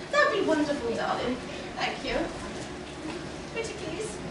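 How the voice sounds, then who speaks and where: Shrill, melodramatic pantomime dame. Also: female voice, sing-song, slow pace, very noisy, exaggerated voice. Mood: wheedling. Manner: theatrical, gushing, exaggerated. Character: pregnant, melodramatic, doting pantomime dame mother. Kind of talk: broad pantomime stage acting. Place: on stage in a small theatre. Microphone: far camera from the audience. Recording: distant, echoey theatre hall with music.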